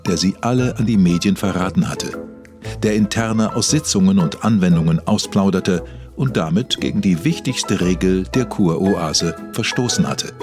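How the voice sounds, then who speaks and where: A deep-voiced male podcast narrator delivers mock-documentary lines gravely. He stays solemn and deadpan over clearly audible background music. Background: music under the voice.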